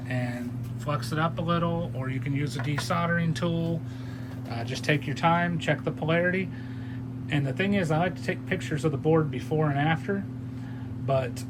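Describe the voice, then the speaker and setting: Man, higher voice, humming background. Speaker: hobbyist radio repairer giving tips. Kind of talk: casual unscripted tutorial talk. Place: indoor electronics workbench. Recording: steady hum and background noise.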